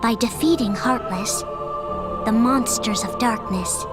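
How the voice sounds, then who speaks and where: A measured storybook narrator, voiced by a woman telling a legend in a game cutscene. Her voice sits a little high, and loud orchestral game music fills the background. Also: close to an everyday voice. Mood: calm.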